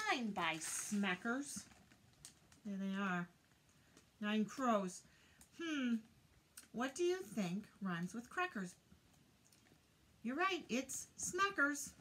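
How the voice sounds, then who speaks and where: An older woman reads a picture book aloud to young children, counting in a deliberate singsong and prompting them with questions. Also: a little high, a bit bright, slight background noise. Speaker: children's teacher reading aloud. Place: indoors, small room lined with bookshelves.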